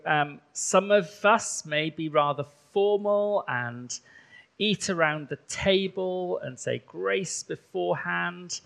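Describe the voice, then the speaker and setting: A bishop from rural Wales, a native Welsh speaker, preaches in a relaxed, conversational way in a church, often pausing on ums and uhs. His fairly high voice carries a gravelly edge. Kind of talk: sermon.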